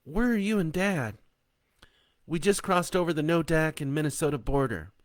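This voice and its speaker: A man's voice: a little high, normal speed, no room sound, pitched above the performer's own voice, natural voice. A man voicing an anxious adult daughter calling her mother. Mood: worried. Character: worried adult daughter on the phone.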